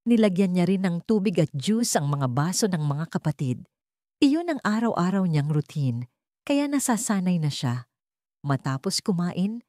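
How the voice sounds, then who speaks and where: A woman reads a dramatic story with very varied intonation. Her voice sits low for a woman, and the sound is dry, with hardly any room in it. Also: warm tone, no echo. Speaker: audiobook narrator. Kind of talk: scripted dramatic story narration.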